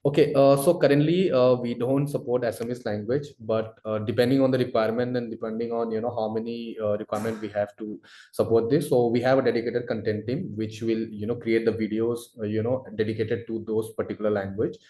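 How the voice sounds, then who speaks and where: A man answering webinar questions as a product expert, explaining in a halting, wordy way full of verbal fillers. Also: mid-range voice, fairly even intonation, mellow, slight background noise. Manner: halting, wordy, repeats filler phrases "you know". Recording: roomy, echoey webinar audio.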